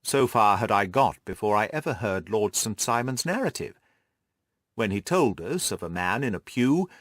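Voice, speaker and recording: Audiobook gentleman detective, played by a male reader: assured, methodical, very animated pitch movement, close dry sound. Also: no echo. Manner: precise, methodical, didactic explaining. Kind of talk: audiobook character dialogue.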